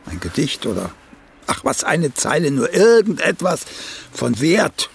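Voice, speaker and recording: A vain old playwright in a radio drama, opinionated and demanding. The voice is deeply gravelled and unhurried, yet rises and falls animatedly. A faint noise sits behind it. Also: male voice, very animated, heavily acted. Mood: demanding, fussy. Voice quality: gravelly, creaky voice. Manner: fussy, opinionated, lecturing. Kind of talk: German radio drama acting.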